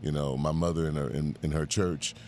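A middle-aged man from the Bahamas and Miami answering interview questions in a studio, conversational, with halting phrasing. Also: a bass voice, steady tone, mellow, slight background noise. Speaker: guest, civil rights branch president. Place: live radio studio.

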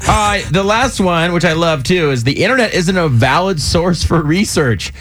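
Male morning-show host, 30s, slightly high voice, lively swings in pitch, jokey casual banter. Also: normal speed. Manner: animated, jokey, puts on quoted voices. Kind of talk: casual upbeat radio banter with callers. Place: radio studio. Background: music bed.

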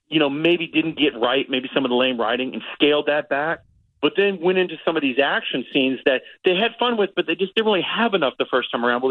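A man's voice: fairly high pitch, no room sound, phone-quality sound. Middle-aged man, film critic, casual radio chat, rambling, emphatic repeats, very dry sound. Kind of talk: casual movie review chat.

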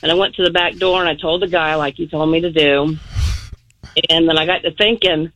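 Muffled phone caller, middle aged lady w a sharp bright voice, rambling earnest story on radio. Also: low voice, fairly even intonation, a little fast. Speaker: radio call-in guest. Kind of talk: casual personal storytelling. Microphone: telephone.

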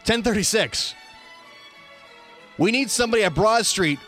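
A man with a notably high voice hosts a talk show. He reads quoted reports and times aloud with emphatic, announcer-style delivery. Faint music plays behind him. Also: animated, normal speed, fairly bright tone. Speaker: radio show host. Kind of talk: reading police dispatch quotes aloud on a comedy-tinged talk show.

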